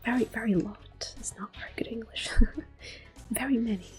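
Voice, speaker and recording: A woman hosting ASMR gameplay, talking softly and gently with quiet laughs. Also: fairly high pitch, moderate pace, faint music behind. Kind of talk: whispered ASMR gameplay commentary.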